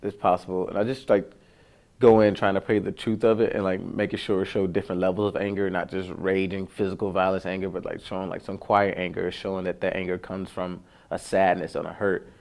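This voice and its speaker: An actor chats casually in an interview. His male voice is very deep and fairly monotone, and he speaks hesitantly, sprinkling in "like" as he searches for words. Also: fairly quick, gravelly. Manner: hesitant, heavy use of "like".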